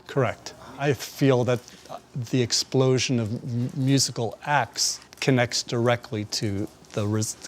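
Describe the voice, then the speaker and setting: A man's voice: mid-range voice, steady tone, a little slow. A man, an author, giving commentary in an interview.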